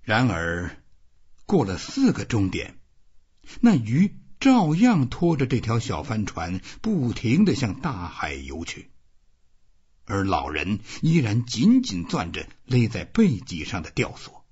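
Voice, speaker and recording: A man's voice: on the low side, huge pitch swings, takes it slowly, a little mellow, breathy edge, dull audio. A man reading scripted literary narration as an audiobook narrator.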